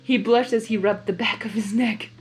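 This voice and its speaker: A woman's voice: a little high, fairly even intonation, normal speed, faint music behind. A woman hosting and narrating a story reading expressively, with casual asides. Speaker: host and narrator of a blind reading.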